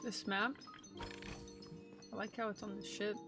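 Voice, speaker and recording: A woman's voice: medium pitch, fairly even intonation, a little slow, creaky. Casual young woman streamer over game music. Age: 20s. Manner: slangy, playful. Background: game music and audio.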